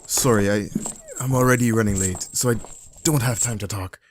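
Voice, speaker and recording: A man plays a secretive young jock in an audiobook drama. His voice is on the low side, halting and evasive, with a harried but earnest air. Faint noise sits behind it. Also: natural voice.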